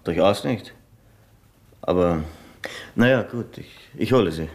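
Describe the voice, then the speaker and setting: A man's voice: deep voice, animated, unhurried, darkish tone. An actor playing a nervous married businessman in a 1970s radio drama, speaking hesitantly with a Swiss German accent and stammering at times.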